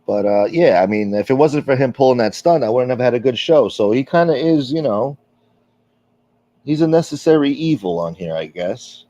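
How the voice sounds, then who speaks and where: A middle-aged man hosting a casual livestream, rambling through viewer comments with plenty of fillers and blunt swearing. His voice is dark and mellow, and the dull, lo-fi audio sounds dry, with no room around it. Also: medium pitch, expressive, moderate pace, dry recording. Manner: rambling, candid, profane, with frequent fillers. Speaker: livestream host.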